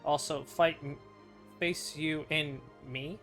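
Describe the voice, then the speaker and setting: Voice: mid-range voice, steady tone, takes it slowly, music in the background. A game streamer giving casual, wry commentary and reading dialogue aloud in an informal, offhand way. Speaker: game streamer reacting to a story quest.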